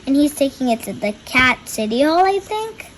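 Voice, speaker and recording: A woman's voice: sits high, big pitch swings, normal speed, a little hiss or hum. A young girl reading a picture book aloud and explaining the pictures. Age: child.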